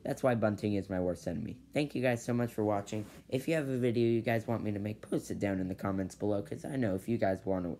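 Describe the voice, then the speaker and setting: A man's voice: on the low side, quick pace, few pauses, dark tone, slight background noise. A teenage boy telling a story casually to his camera in his bedroom, rambling and restarting with lots of "basically". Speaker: vlogger. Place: bedroom with ceiling fan, indoors. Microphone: device camera at low angle.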